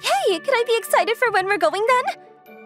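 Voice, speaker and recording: Casting: a woman plays a girlish young manga-dub heroine, high-pitched and lively, relaxed, affectionate and playfully teasing. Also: normal speed, faint music behind. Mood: excited. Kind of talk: acted anime-style dialogue.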